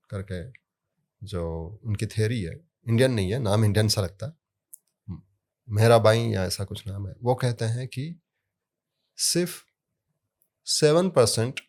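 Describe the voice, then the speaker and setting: A man explaining sound therapy in a relaxed podcast conversation, telling stories from his work and leaning hard on key words. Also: fairly low pitch. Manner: storytelling with anecdotes, emphatic rises on key words.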